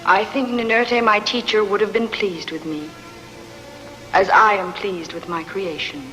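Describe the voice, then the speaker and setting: A woman's voice: mid-range voice, fairly even intonation, a little slow, bright, forceful tone, soft background music, rough recording. A woman playing an imperious, ruthless queen in a film dub, with a commanding regal delivery. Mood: regal, assured. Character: imperious, ruthless queen pining for lost lover.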